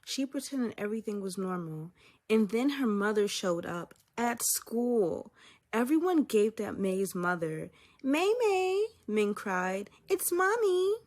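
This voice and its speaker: Woman teacher, storybook narrator; soft hushed delivery, animated pitch. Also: a little slow. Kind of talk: storybook read-aloud.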